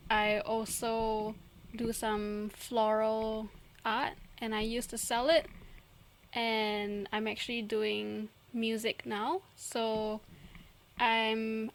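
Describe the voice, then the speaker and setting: A young woman from Singapore, chatting casually as a podcast co-host and giggling often. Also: a little high. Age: young adult. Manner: chatty, giggly, trails off and restarts. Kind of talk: casual chat.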